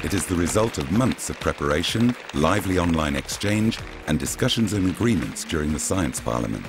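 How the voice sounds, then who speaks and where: Male documentary narrator, very low and expressive, formal and measured, with music underneath. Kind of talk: scripted promotional voice-over. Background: music bed.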